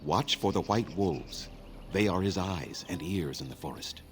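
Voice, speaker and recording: A grave elven clan leader from a fantasy game, with a very low voice and formal, measured speech. He sounds weary and solemn, with clear outdoor ambient noise around him. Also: lively intonation. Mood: solemn warning. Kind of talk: scripted video game dialogue.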